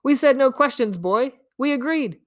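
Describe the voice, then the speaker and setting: A woman's voice: high voice, lively intonation, normal speed, voice pushed up. A woman voicing a scrawny, grinning male stranger in a storybook, teasing and coaxing in a theatrical way. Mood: testy. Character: scrawny, grinning, wheedling stranger man, fast-talking trickster.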